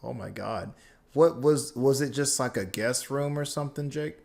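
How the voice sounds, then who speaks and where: A man's voice: medium pitch, normal speed, creaky, a little mellow. A male streamer chats casually as he reacts, with a mid-range voice. He talks in loose, rambling slang and swears freely as he tells stories and responds to viewers. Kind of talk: casual reaction chat, reading viewer comments.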